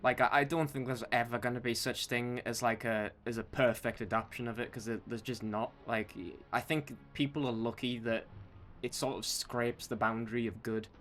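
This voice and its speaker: A man's voice: medium pitch, fairly even intonation, normal speed, fairly bright tone, soft background music. A man co-hosting a podcast, giving emphatic opinions in casual chat and repeating himself to make a point. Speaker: podcast co-host. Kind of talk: casual film and game chat.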